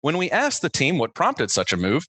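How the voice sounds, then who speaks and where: A man's voice: a little high, huge pitch swings, moderate pace, pushed and bright, slightly rough, dry recording. A man hosting a radio sports segment, reading scripted satirical headlines in a mock-enthusiastic announcer delivery.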